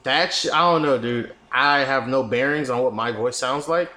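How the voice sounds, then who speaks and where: A man's voice: medium pitch, moderate pace, pushed and bright, echoey room. A young man co-hosting a podcast, casual and profane, joking and laughing often. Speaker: podcast co-host. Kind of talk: casual chat.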